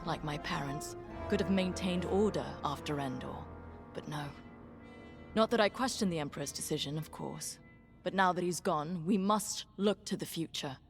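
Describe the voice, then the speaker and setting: An actress plays an aloof aristocratic woman pilot in a sci-fi video game. Her voice is notably low, and she talks with measured formality and a proud loyalist air. Light music runs underneath. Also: lightly acted. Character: haughty, well-born female Imperial pilot, politically minded loyalist. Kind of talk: video game dialogue, dramatic acting.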